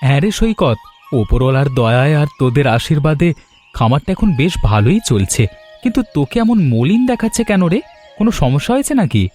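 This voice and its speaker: A man's voice: fairly high pitch, sing-song, a little fast, darkish tone, soft background music. A man voicing a supportive, brotherly young village man in a cartoon drama. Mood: concerned. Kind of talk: cartoon voice acting.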